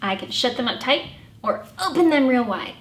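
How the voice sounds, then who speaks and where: A woman's voice: a little high, animated, normal speed. A woman presenting a children's story time as a librarian, with greetings and rhymes for young children. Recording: echoey room with faint background noise.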